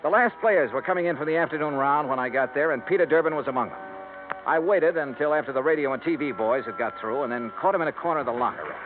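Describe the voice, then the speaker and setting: Laconic hardboiled investigator, dry and sardonic, an actor; tinny old radio with music. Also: male voice, fairly high pitch, fast, close to an everyday voice. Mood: wry. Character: wry hardboiled male insurance investigator. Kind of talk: 1950s radio drama narration and dialogue.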